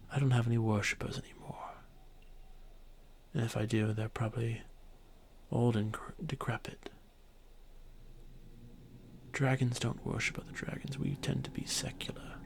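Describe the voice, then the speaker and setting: A man playing an arrogant, ancient dragon boy who was once a god, speaking with haughty condescension in a breathy, creaky voice for a fantasy ASMR roleplay. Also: mid-range voice. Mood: disdainful. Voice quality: breathy, whispery, with creaky edges. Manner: haughty, condescending, unhurried formal speech.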